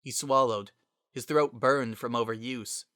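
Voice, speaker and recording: Bright male story narrator, dry recording. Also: mid-range voice, fairly even intonation, measured pace, clear, resonant tone, no room sound.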